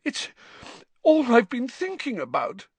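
A man's voice: very high voice, wildly swooping pitch, measured pace, husky, no room sound, voice pushed up, heavily acted. A man voicing an anxious, doting middle-aged mother witch in an audiobook, fretful and breathlessly emotional. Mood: sobbing remorse.

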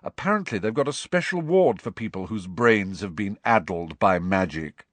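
A man voicing a smug, malicious teenage schoolboy bully in an audiobook, boasting loudly and sneering. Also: lowish voice, animated, no echo. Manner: boastful, deliberately loud, sneering.

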